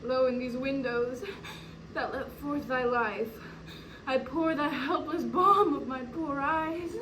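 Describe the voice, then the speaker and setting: Very high-pitched, grieving vengeful young noble widow, slow declamatory Shakespearean stage delivery, emotional, distant noisy roomy recording. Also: female voice, fairly even intonation. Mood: mournful. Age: young adult. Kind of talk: classical Shakespearean stage acting.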